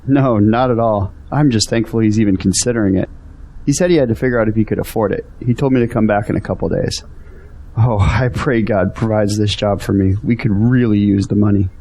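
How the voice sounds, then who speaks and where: An actor playing a humble, thankful young grown son in an audio drama, earnest and polite. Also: male voice, fairly low pitch, steady tone, a little fast, warm tone, a little hiss or hum, close to an everyday voice, low-quality recording.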